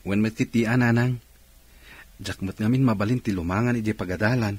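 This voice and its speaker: An actor playing a dutiful, concerned young student son in an Ilocano radio drama, with a husky voice. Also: male voice, fairly low pitch, brisk, a little mellow, no room sound. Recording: music under the dialogue. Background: background music.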